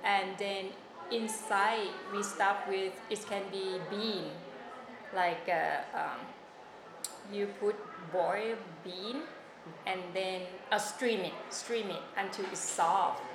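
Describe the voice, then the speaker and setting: Woman from Thailand, Thai speaker, halting with many fillers, slow pace, echoey room, noisy background. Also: mid-range voice, fairly even intonation. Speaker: interviewee. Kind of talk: casual oral history interview.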